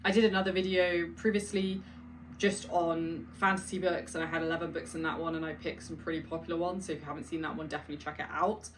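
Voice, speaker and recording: Book vlogger, woman, low voice, chatty, reads passages aloud, a little off-mic. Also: little pitch movement, quick pace, far from the mic, slight background noise. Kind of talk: casual chat with read-aloud passages.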